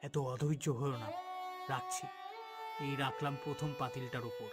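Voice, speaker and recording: A man's voice: mid-range voice, fairly even intonation, moderate pace, close to an everyday voice. A man acts out a greedy village schemer in a cartoon melodrama. He is sly and cowardly, speaking with heavy emphasis. Music plays behind the voice. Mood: sly, scheming. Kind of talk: cartoon voice acting.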